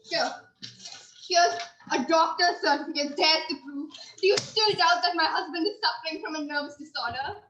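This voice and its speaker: A very high-pitched stubborn wife in a stage farce, wailing her grievances at officials. She is pushy, plaintive and melodramatic, heard in a roomy, slightly muffled recording. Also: moderate pace, a bit bright, a little hiss or hum. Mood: wailing, self-pitying. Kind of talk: comic stage-play acting. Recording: roomy, band-limited recording.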